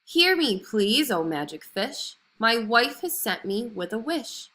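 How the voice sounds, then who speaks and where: A woman's voice: a little high, very animated, slow pace, pushed and bright, full character voice. Woman as a meek, henpecked storybook fisherman. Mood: pleading call. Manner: timid, hesitant. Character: meek, henpecked, anxious poor fisherman husband.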